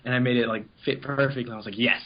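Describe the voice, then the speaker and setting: A man's voice: medium pitch, fairly even intonation, rapid-fire. Rambling casual man on dull lo-fi audio. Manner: rambling, informal, acts out quoted remarks. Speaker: interview guest, amateur voice actor in an abridged anime series. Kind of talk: casual interview chat, telling anecdotes.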